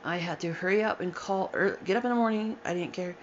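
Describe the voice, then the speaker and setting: A woman's voice: fairly low pitch, slight background noise. Weary woman in her forties, muffled recording. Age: 40s. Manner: halting, emotional, with sighs and restarts. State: tired and upset. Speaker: storyteller sharing a personal account. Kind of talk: unscripted personal testimony.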